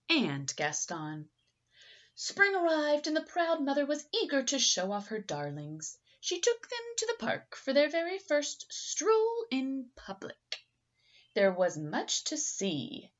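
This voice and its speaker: Female storybook reader: highish voice, swooping theatrical delivery aimed at young listeners, slightly dull sound. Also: a bit bright. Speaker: picture book reader. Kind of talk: expressive children's story read-aloud.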